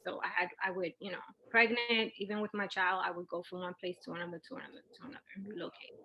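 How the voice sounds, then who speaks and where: A woman's voice: fairly low pitch, level tone, fast, sharp tone, a little hiss or hum, rough recording. A middle-aged woman, telling her personal story as an interview guest in a candid, informal way. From Puerto Rico and Brooklyn, New York.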